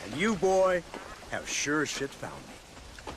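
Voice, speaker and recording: An old American lawman in a video game, short-tempered and full of contempt. His voice is high for a man and swings enormously as he threatens, over clear outdoor noise. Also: sing-song, slow. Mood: mocking, wary. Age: older. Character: menacing retired old lawman with filthy temper. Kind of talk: video game cutscene acting. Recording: outdoor nature sounds behind voice.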